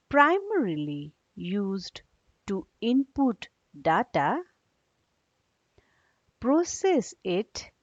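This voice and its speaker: A woman teacher giving a read-along lesson for young children, explaining in a didactic way and checking understanding with short questions. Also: medium pitch. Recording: dull, echoey room recording.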